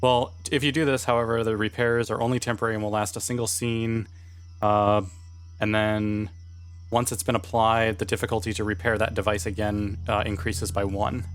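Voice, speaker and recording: A man's voice: on the low side, little pitch movement, a little fast. Casual man at a tabletop game. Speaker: player in a tabletop roleplaying game.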